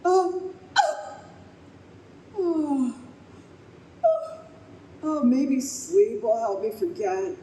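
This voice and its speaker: A woman's voice: sits high, big pitch swings, very slow, long pauses, mellow, full character voice. A woman acting a troubled young single mother in a live old-time radio play, with dramatic, emotional delivery. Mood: grief-stricken, drunk. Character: distraught young single mother, grieving, drinking, later doting on baby. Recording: live stage recording, echoey room, faint noise.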